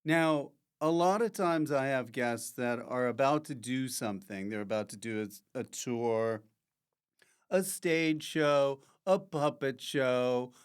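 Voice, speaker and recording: A man with a clear, smooth voice hosts a casual comedy podcast. He talks at an unhurried pace, rambling and joking in a mock-earnest way, with a medium-pitched delivery. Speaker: podcast host. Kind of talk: casual comic interview chat.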